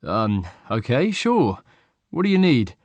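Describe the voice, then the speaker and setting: A man doing an eager, high-voiced friend. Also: big pitch swings, takes it slowly, no echo, lo-fi sound. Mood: friendly. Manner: bright, eager. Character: cheerful, eager young male friend, higher-voiced.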